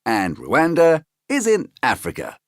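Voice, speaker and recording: A man's voice: medium pitch, huge pitch swings, measured pace, no echo, studio quality, pitched above the performer's own voice. A man voicing a kindly, cheerful uncle in a raised, eager voice.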